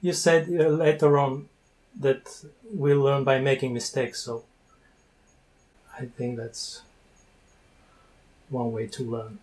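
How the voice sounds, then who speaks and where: A man from Bulgaria in his forties, chatting on a video call through an earphone mic, playful and teasing, pausing to search for words. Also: mid-range voice, little pitch movement, darkish tone, poor audio. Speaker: language learner interviewing a tutor. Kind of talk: casual video-call chat. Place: small room indoors with wooden doors. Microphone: wired earphone mic on a video call.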